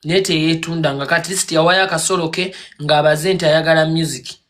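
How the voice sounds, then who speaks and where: A man on a live stream rants in a scolding, mocking tone, firing one accusation after another. His voice sits high for a man. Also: steady tone, a little fast, a bit bright. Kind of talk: heated rant on a live stream.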